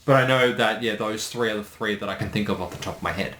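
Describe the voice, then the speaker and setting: A man's voice: on the low side, quick pace, fairly bright tone. A man chatting casually as a co-commentator, recorded in an echoey room with some faint noise. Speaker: co-commentator and voice actor. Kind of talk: casual chat.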